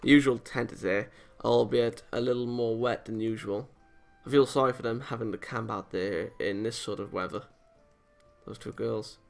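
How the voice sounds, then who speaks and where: A man's voice: mid-range voice, steady tone, normal speed, gravelly. A man hosting a let's play, reading a visual novel aloud with casual comments and often stumbling over lines. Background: game music.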